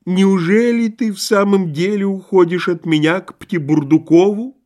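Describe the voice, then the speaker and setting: A man voicing a self-pitying, theatrically tragic abandoned husband in an audiobook, with nasal, drawn-out, declamatory wailing. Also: high-pitched, voice pushed up. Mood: pleading.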